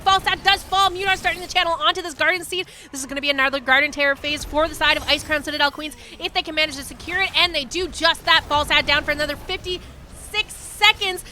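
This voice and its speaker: A woman esports caster giving live play-by-play game commentary with an excitable, urgent delivery. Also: very high-pitched, a little hiss or hum.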